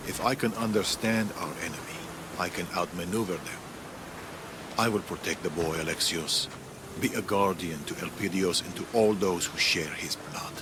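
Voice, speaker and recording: An actor playing a solemn, weary but resolute aging Persian strategist and protector, speaking in a measured way in a game cutscene. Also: male voice, low voice, background noise, natural voice. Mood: grave, resolute. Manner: grave, measured, deliberate. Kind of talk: dramatic video game cutscene acting. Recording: dramatic music under the voice. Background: cinematic game music.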